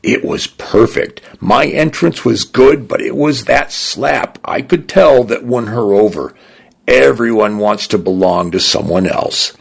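A man's voice: medium pitch, unhurried, gravelly, husky, pitched above the performer's own voice. A man playing a wry roguish charmer. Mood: fond. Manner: wry, knowing. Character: wry roguish man, fond and world-weary.

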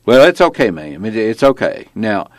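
A man voicing a belligerent, awkward rural cop in an audiobook, loose diction and a folksy, halting delivery. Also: fairly low pitch, sing-song, no room sound, pitched above the performer's own voice. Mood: frustrated, placating. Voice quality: slurred, far from crisp diction.

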